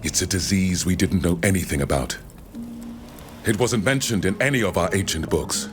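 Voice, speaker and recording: An actor playing a courteous, reflective older naturalist in a video game, speaking in a measured, formal way. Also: male voice, sits low, lightly acted. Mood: grave. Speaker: scholar from the continent. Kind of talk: scripted video game dialogue. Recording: music behind the voice.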